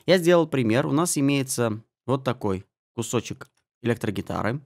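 A man giving a spoken software tutorial as an audio mixing tutor, explaining settings step by step. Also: mid-range voice, expressive, moderate pace, vocal fry. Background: music and guitar samples.

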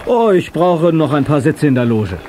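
An actor playing a confident, daring young race driver in an audio drama, on a noisy old cassette. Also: male voice, on the high side, very animated, moderate pace, music in the background. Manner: self-assured.

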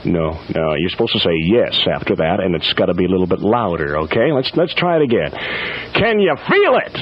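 Animated 1970s rock radio DJ man. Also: low voice, a little fast, slight background noise. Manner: hyped-up showman, playful, addresses listeners directly. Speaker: radio disc jockey. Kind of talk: late-night 1970s rock radio DJ patter. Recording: lo-fi 1970s AM radio aircheck, loud music. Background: loud pop and rock music.

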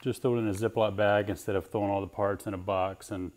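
A man's voice: lowish voice, fairly even intonation, fast, darkish tone. A man giving a casual how-to narration as a home DIY renovator, plain-spoken and matter-of-fact. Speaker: home DIY renovator presenting his own project.

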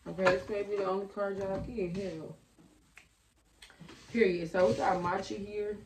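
A young woman reading tarot cards in a casual, slangy way, with a slightly raspy voice, talking at a table in her bedroom. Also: mid-range voice, fairly even intonation, moderate pace, noisy background. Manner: chatty, slangy, thinking aloud. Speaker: tarot reader. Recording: roomy, a bit far from the mic.

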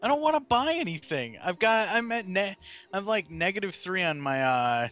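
Mid-20s guy, bright voice bouncing all over, laughy gamer banter. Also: sits high, very animated, normal speed, soft background music. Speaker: game player and streamer. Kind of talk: casual gaming chat.

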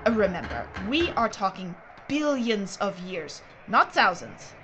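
An actress playing a brisk, secretive mining executive in an audio drama, speaking in a precise, formal way. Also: female voice, medium pitch, big pitch swings, bright, forceful tone, highs cut off. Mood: brisk, explanatory. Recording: clear background noise and ambience.

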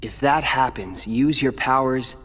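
A man's voice: medium pitch, unhurried, fairly bright tone. An actor playing a brooding, determined teenage boy warrior in a video game, terse, earnest and defiant. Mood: somber, resolute. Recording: long hall reverb, music behind.

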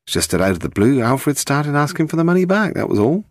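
A man's voice: on the high side, big pitch swings, quick pace, no echo, studio quality, voice pushed up. A British man voicing a feisty young woman who defends her father, indignant and clipped, in an audiobook. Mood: defensive.

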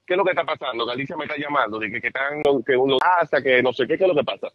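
A man's voice: medium pitch, racing. A man in an agitated, casual phone conversation. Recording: slightly noisy phone call recording.

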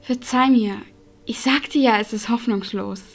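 A woman playing a gentle, resigned captive noblewoman in a fantasy audio drama, courteous and soft-spoken. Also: fairly high pitch, a little slow, soft background music. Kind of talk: fan-made fantasy audio drama acting.

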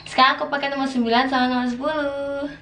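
A hoarse young woman in her twenties talks through a makeup review in a chatty, gushing way, her voice sitting a little high. She records to camera in a small room that sounds echoey, with a faint hiss underneath. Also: flat delivery, fast talker, bright, forceful tone. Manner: chatty, gushing, full of fillers. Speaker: beauty vlogger. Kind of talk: casual product review. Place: small indoor room, plain wall.

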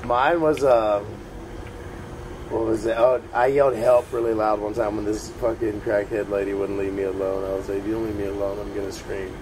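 A man's voice: on the low side, little pitch movement, brisk, noisy background. A man hosting a casual backyard chat, hyped up, full of bro slang and laughter. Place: backyard, outdoors.

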